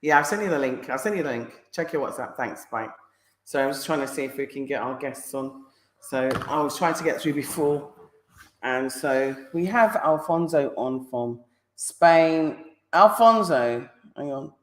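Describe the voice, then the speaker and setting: A woman's voice: extremely low pitch, moderate pace, reverberant, low-quality recording. A woman with a British accent hosting a casual live-stream interview, pressing her guest with repeated questions. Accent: British English.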